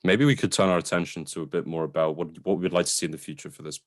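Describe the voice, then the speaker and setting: Male co-host, low voice, casual and opinionated review chat, unguarded language with swearing. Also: fairly even intonation, racing. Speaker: podcast co-host reviewing films. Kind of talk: casual film discussion.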